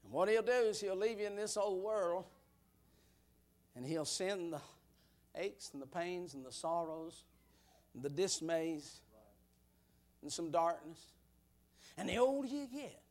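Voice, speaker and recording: A man in his sixties preaching a sermon, building rhythmic repeated lines into shouted bursts, then dropping to quiet, folksy asides. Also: high voice, measured pace, long pauses. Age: 60s.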